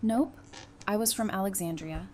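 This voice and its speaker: A woman's voice: mid-range voice, moderate pace, darkish tone, natural voice. A wry former psychiatrist teases and needles in easy banter, played by a woman. Her voice sits in the middle range. There is faint noise under the recording of this fan audio drama. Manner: dry, teasing banter. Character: Talissa, young woman psychiatrist, wry and teasing.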